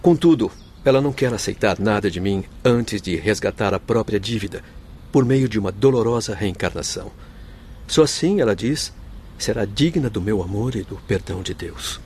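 An actor in a radio soap playing a noble, devoted doctor's spirit, speaking with formal, heartfelt solemnity. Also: male voice, lowish voice, expressive, normal speed, a little mellow, slight background noise, close to an everyday voice. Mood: yearning, devoted. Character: noble grieving Spanish doctor spirit, devoted forgiving husband. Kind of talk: radio soap opera acting.

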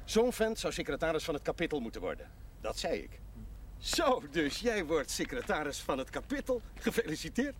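An actor playing a jovial, shrewd parish priest in a television drama, theatrical and teasing with mock solemnity. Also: male voice, on the high side, sing-song, normal speed, slight background noise.

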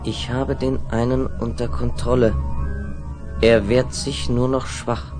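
Ein Schauspieler spricht in einem Science-Fiction-Hörspiel einen wachsamen, körperlosen Geist im Plasma, ernst und gemessen. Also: male voice, mid-range voice, little pitch movement, music in the background, natural voice. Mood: wary. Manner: earnest, measured, declarative. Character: watchful disembodied male mind newly merged with plasma. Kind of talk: 1970s German science fiction radio drama acting.

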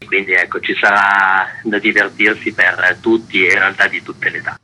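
Bright-voiced man, low pitch, on radio. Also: little pitch movement, slight background noise, poor audio. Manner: measured, with restarts and fillers. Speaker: festival organizer. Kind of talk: radio interview answers. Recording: radio broadcast.

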